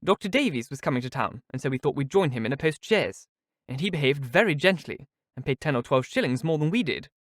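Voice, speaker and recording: A man's voice: medium pitch, animated, fast, no room sound, studio quality, natural voice. A man reading aloud voices a vain, giddy young woman who simpers and protests coyly. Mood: exultant.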